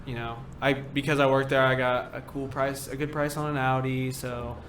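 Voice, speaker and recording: A man's voice: mid-range voice, flat delivery, normal speed, a bit bright, roomy sound, a little hiss or hum. A man in his twenties, a band member, chatting as a podcast guest and telling loose stories with quoted asides. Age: 20s. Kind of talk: casual chat.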